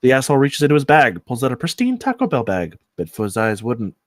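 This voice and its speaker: Man narrating a screenplay, medium pitch. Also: huge pitch swings, brisk, no room sound, clean studio sound. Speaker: narrator reading stage directions. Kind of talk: screenplay table read narration.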